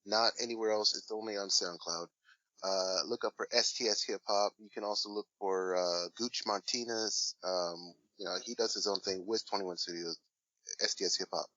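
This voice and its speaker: A man's voice: on the low side, fairly even intonation, moderate pace, sharp tone, no echo, muffled recording. A man talking casually as an interview guest, rambling and hesitant with many fillers. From Washington State, USA. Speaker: guest, hip hop artist.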